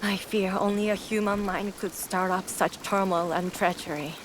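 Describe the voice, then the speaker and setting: An actress playing a calm, devout female shrine priestess in a game cutscene, measured and solemn. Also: mid-range voice, background noise, natural voice. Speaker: game character. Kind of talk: video game cutscene acting. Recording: game audio with music.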